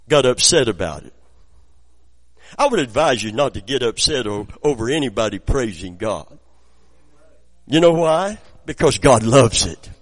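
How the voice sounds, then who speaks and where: A middle-aged man preaching a sermon, his voice raspy from preaching, moving between quiet storytelling and loud exclamations. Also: mid-range voice. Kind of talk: sermon with personal anecdotes.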